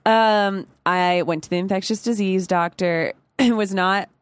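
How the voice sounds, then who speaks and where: A woman's voice: medium pitch, steady tone, measured pace, smooth, dry recording. Stuffy-nosed young woman chatting. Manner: chatty, laughs often, many "like" fillers. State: stuffy head cold. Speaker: podcast co-host. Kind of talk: casual chat.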